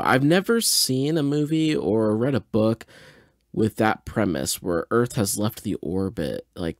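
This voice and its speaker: Low-voiced tipsy man, laid-back podcaster. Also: no room sound, studio quality. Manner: laid-back, hesitant, thinking aloud. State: mildly tipsy. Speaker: podcast host. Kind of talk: casual rambling audio reaction and commentary.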